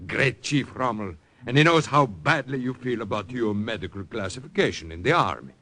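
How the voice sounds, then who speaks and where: A silky police count. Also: male voice, medium pitch, lively intonation, normal speed, pushed and bright. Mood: coaxing. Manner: smooth, silky and coaxing. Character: suave scheming Italian police chief, a count. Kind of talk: 1940s radio acting.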